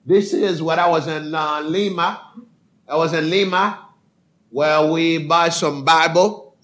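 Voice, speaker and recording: An older man with a Haitian accent, speaking as a missionary preacher giving an informal presentation in halting, short phrases of non-native English. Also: a little high, steady tone, fairly bright tone.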